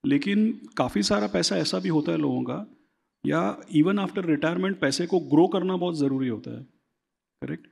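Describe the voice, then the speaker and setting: Brief: male finance expert, gravelly, higher-pitched, explanatory, reverberant room. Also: a little mellow. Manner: explanatory, seeks agreement with repeated tag questions. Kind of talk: conversational interview, Hindi-English mix.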